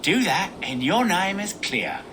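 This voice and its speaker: Smug older bandit chief, highish swooping pitch, theatrical, mock-friendly, video game drama, noisy ambience. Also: male voice, wildly swooping pitch, a little slow, exaggerated voice. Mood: sly bargaining. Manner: theatrical, taunting, falsely genial. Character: sly older male bandit gang boss, smooth and mocking. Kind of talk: video game cutscene acting.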